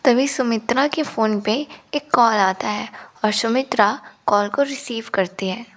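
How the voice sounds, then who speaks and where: A woman's voice: a little high, unhurried, fairly bright tone, no echo. A woman narrating a scripted children's moral story.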